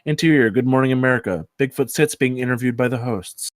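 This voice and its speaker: A man's voice: mid-range voice, fast, no room sound, studio quality. A man reading out stage directions as narrator at a screenplay table read.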